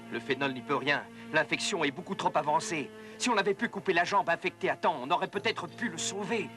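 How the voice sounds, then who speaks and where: Earnest cartoon physician-researcher, bright, forward voice on the higher side, measured, light music behind. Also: male voice. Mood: grave, concerned. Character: earnest male military doctor and researcher, grave, thoughtful. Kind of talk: French-dubbed educational cartoon acting. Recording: music under the voice.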